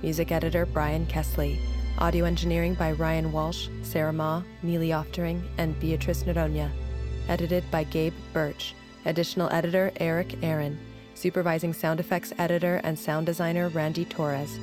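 A woman reads scripted credits as an announcer, her voice on the low side and very even in pitch. Each item is delivered in measured list fashion over clear background music.

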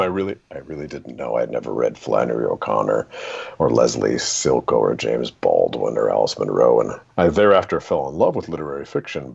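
A man telling anecdotes as a guest author in an interview, with a dramatic, deliberate storytelling manner. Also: rumbling low, vocal fry, a little mellow, slightly rough, highs cut off. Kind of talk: podcast interview, personal anecdotes.

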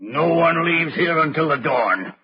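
An actor playing a stern, threatening colonial henchman with a gravelly voice and archaic, imperious speech in an old-time radio drama. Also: fairly high pitch, animated, a little slow, exaggerated voice. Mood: menacing. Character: menacing armed colonial-era henchman, stern and commanding. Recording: dull, noisy old radio recording.

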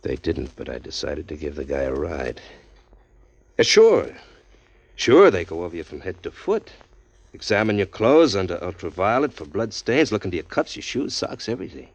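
A deep-voiced hardboiled drifter. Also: male voice, big pitch swings, normal speed, gravelly, dry recording, full character voice. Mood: wry. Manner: tough, dry, wisecracking first-person narration. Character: hardboiled drifter man, streetwise, wry. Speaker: narrator and lead. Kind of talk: 1940s radio acting. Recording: dull lo-fi 1940s radio recording, faint music.

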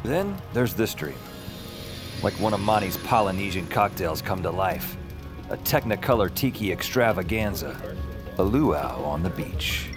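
A man's voice: sits low, animated, unhurried. An actor playing a wry, boastful male protagonist who narrates his wild dream conversationally in an audio drama. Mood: amused, dreamy. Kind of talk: first-person noir-comic audio drama narration. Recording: loud music under the voice.

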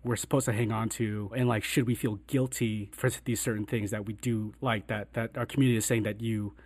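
A man, a writer and director, talking reflectively in a casual panel conversation, halting with many fillers and restarts. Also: lowish voice, level tone, darkish tone, no room sound.

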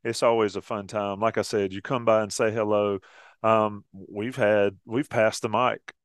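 Man co-host, smooth mid-range voice, dry close sound, easygoing and chatty. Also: fairly low pitch, little pitch movement, unhurried, no room sound. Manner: chatty and easygoing, laughs often. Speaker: co-host of a college football pregame show. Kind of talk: casual promo chat.

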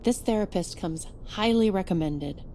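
A woman's voice: mid-range voice, normal speed, lightly acted. A woman playing a concerned, insistent middle-aged mother in an audio drama, coaxing patiently but firmly. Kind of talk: naturalistic audio drama acting. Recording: clear vehicle and ambient noise.

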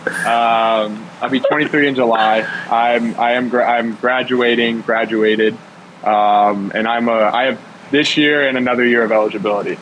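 A man's voice: medium pitch, fairly even intonation, moderate pace, fairly bright tone, a little hiss or hum, poor audio. A man in his twenties, a college football player, chatting easily in an interview with many fillers. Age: 20s. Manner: easygoing, rambling, filler-heavy. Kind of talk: casual interview.

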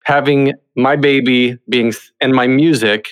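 A man's voice: mid-range voice, steady tone, measured pace. A man chatting casually in an interview about creative work, in a conversational way. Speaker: musical theatre creative.

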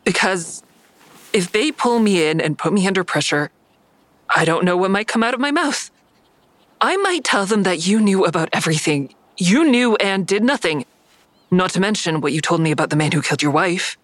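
A woman's voice: lowish voice, expressive, normal speed, dry recording, lightly acted. A woman playing a troubled, defiant suspect who narrates her own story in an audio drama, pointed and anxious. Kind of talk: first-person audio drama narration and dialogue. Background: music.

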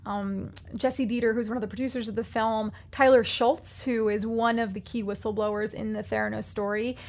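A woman podcast host giving a chatty, animated recommendation with plenty of fillers. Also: fairly high pitch, brisk. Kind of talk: casual chat, recommendation segment.

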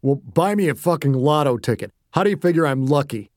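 A narrator voicing a gruff, sullen man who has just been beaten up, talking curtly. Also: mid-range voice, expressive, brisk, darkish tone, no echo, studio quality, lightly acted. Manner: gruff, curt.

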